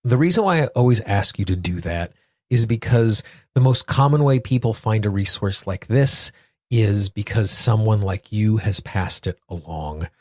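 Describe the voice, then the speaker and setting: A man hosting a solo podcast, speaking earnestly in deliberate phrases, repeating words for emphasis. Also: on the low side, expressive, no room sound, clean studio sound. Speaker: podcast host. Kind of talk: solo podcast talk with guided affirmations.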